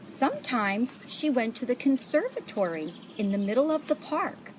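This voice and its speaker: A woman reading a picture book aloud to children in a deliberate way, like a volunteer storyteller. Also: a little high, big pitch swings, noisy background. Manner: deliberate, pauses to point out pictures.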